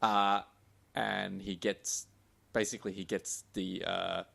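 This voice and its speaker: A man co-hosting a podcast, discussing books casually and haltingly, rephrasing as he goes. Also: sits low, flat delivery, vocal fry, fairly bright tone. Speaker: podcast co-host.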